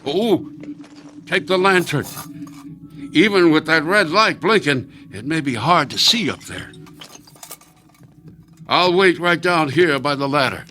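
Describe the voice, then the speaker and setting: Fretful, high-voiced old husband. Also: male voice, lively intonation, unhurried, pauses often, bright, forceful tone, a little hiss or hum. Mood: fussing, cautioning. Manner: anxious fussing, stammers and repeats words. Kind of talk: radio drama acting.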